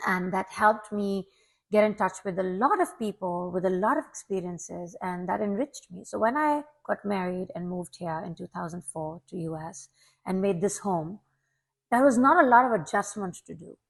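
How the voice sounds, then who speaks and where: A woman's voice: mid-range voice, lively intonation, normal speed, vocal fry, poor audio. A woman in her forties from Pakistan, a longtime teacher, talking earnestly and reflectively as a guest in an interview, often repeating a word as she starts. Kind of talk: casual interview talk.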